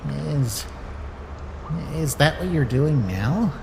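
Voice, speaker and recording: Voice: medium pitch, lively intonation, very slow, vocal fry, in a large hall, noisy background, full character voice. An actor voicing a moody, curious young male talking flower in a fan comic dub, blunt yet hesitant.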